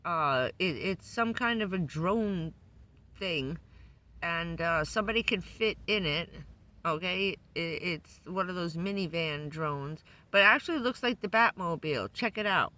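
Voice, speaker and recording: A hoarse woman who says she is losing her voice, giving a loose, chatty van-life update full of fillers. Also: lowish voice, animated, measured pace, sharp tone. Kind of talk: casual rambling live update. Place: living in a van.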